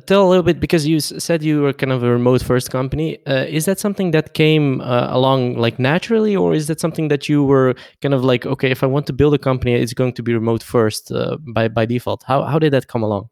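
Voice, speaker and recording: A man hosting a casual podcast interview, asking questions in informal, halting phrases. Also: mid-range voice, vocal fry, darkish tone, no room sound, clean studio sound.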